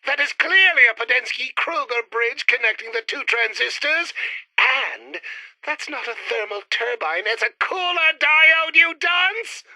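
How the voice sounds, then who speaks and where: An actor voicing an arrogant, squabbling alien scientist twin, sneering and excitable, in a retro sci-fi radio play. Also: male voice, extremely high pitch, a little slow, dry recording, full character voice, poor audio. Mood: indignant.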